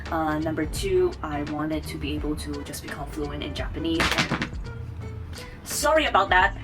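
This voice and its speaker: A woman's voice: low voice, animated, moderate pace, loud music behind the voice. A woman in her twenties from Taiwan, chatting to camera as a vlogger, rambling with many fillers and restarts. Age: 20s. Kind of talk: casual chat to camera.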